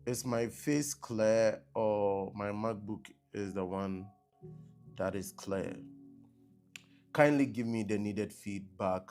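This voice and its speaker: A man preaching on a live stream who repeats his blessings and keeps asking viewers whether he can be heard and seen clearly. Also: lowish voice, takes it slowly, a little mellow, soft background music. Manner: repetitive, checking with online viewers. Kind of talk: live-streamed sermon opening. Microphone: laptop mic on a live stream.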